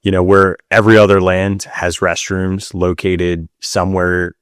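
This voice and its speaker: Male podcast co-host, slightly low voice, informal and wry, dry close recording. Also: little pitch movement, unhurried, smooth, no echo. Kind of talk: casual chat.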